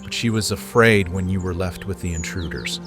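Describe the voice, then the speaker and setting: An actor voices a concerned young man in a dramatic audio play. His voice is low and earnest, with a gentle, pleading manner and faint music behind it. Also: moderate pace, close to an everyday voice. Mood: worried.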